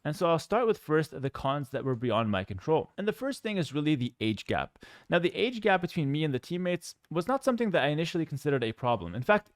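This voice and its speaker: Fast-talking young man, clean voice. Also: fairly high pitch, no echo. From Canada. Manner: measured, candid, explanatory, with frequent 'you know' asides. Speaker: professional gamer and content creator. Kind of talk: personal talk to viewers, reflective monologue.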